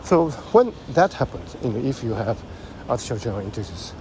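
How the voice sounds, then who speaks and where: A man with a Japanese accent, thinking aloud in a halting way, full of fillers, while walking along a busy city street. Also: medium pitch, big pitch swings. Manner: thoughtful, halting, many fillers. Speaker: vlogger. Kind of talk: musing monologue while walking. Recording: loud street traffic behind.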